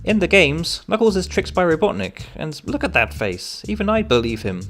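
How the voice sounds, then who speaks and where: A man's voice: high voice, sing-song, moderate pace, fairly bright tone. Wry man narrating a review over music. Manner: sardonic, wry asides. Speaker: comic book reviewer. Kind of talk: scripted video review narration.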